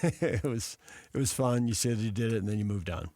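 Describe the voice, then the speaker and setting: A man's voice: on the low side, a little fast, darkish tone, no room sound, clean studio sound. A man with an American accent hosting a live sports talk radio show.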